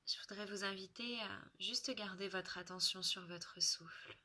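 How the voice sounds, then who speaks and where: A woman leading a guided relaxation in French, soothing and unhurried, with soft, breathy phrase endings and long pauses. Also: medium pitch, fairly even intonation.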